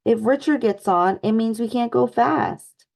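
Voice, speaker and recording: A woman's voice: fairly high pitch, measured pace, creaky, darkish tone. A woman voicing an impatient little girl piglet in a storybook read-aloud, sounding whiny.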